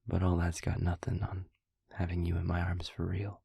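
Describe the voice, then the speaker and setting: Man playing faraway boyfriend, audio roleplay, very husky and breathy, low and subdued intonation, soft, halting, tender. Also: completely flat delivery, dry recording, studio quality, heavily acted. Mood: longing. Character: lovesick man leaving a tender voicemail for faraway partner. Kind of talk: intimate audio roleplay acting.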